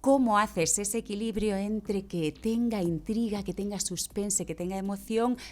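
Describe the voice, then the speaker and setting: A woman's voice: medium pitch, unhurried, a little mellow. A woman guest explaining her craft in an interview, thoughtful and given to posing questions. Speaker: podcast creator, interview guest.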